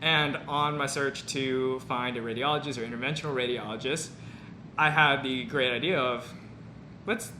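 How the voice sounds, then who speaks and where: Bright-voiced young man hosting an interview. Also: medium pitch, fairly even intonation, normal speed. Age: young adult. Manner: eager, slightly nervous, uses filler words. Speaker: medical student vlogger, host. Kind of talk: casual interview chat. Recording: echoey room with faint noise.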